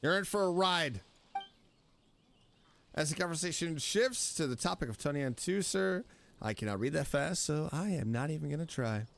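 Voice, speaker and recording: A woman's voice: low voice, expressive, moderate pace, gravelly, slight background noise. A woman doing casual live reaction commentary on a story game, with playful, sarcastic asides. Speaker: streamer playing a story game.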